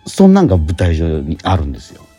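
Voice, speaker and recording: A man's voice: deep voice, huge pitch swings, mellow, slightly rough. A man hosting a radio show, chatting casually and searching for his words as he goes. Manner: halting, searching for words, repeating himself. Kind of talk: casual promotional chat about a stage play.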